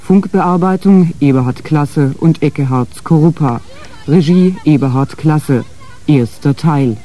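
A man's voice: on the high side, unhurried, warm tone. A man announcing the title and credits of a radio play in a scripted style.

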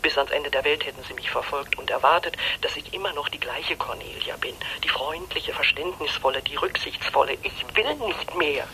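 A disillusioned middle-aged wife longing to escape her life. Her voice is very bright and tense, pleading and intense in radio-drama style, with faint noise behind it. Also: sits low, normal speed, few pauses, low-quality recording. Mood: bitter, weary. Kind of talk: German radio play acting.

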